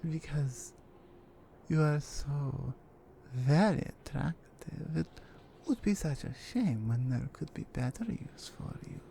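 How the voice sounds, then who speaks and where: A woman playing a menacing, seductive Russian mafia captor in an intimate ASMR roleplay, with a Russian accent and a deliberate, controlled delivery. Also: sits low, expressive, vocal fry, mellow, slight background noise, full character voice. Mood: seductive, coaxing.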